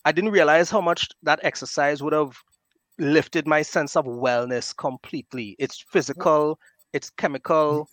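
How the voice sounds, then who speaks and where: Guest, man, late thirties, slightly higher pitch, earnest and reflective, dry recording. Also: normal speed, fairly bright tone, no room sound. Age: late 30s. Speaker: podcast guest. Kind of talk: casual chat.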